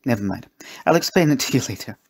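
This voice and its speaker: Man voicing a high-pitched motherly cook. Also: expressive, quick pace, vocal fry, a little hiss or hum. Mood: cheerful, reassuring. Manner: gentle, fussing, homely. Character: kindly, motherly, stout woman cook.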